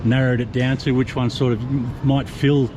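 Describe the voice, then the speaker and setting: A man hosting a casual street interview, chatty and friendly with quick questions. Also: mid-range voice, flat delivery. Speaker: street interviewer, vlog host. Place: busy city street outdoors. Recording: loud street traffic noise.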